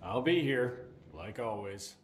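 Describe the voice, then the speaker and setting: An actor playing a dry, world-weary government containment supervisor in a video game, with a sardonic, deadpan manner. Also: male voice, lowish voice, slow. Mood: wry, deadpan. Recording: roomy echo, faint background noise.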